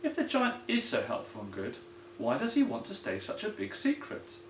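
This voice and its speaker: A man's voice: fairly high pitch, wildly swooping pitch, normal speed, a bit bright. Man reading as a sceptical young storybook boy, roomy echo. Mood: doubtful. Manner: sighing, scoffing. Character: young boy, sceptical, weary of grandad's tall tales. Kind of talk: children's picture book read-aloud. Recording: roomy echo, some background noise.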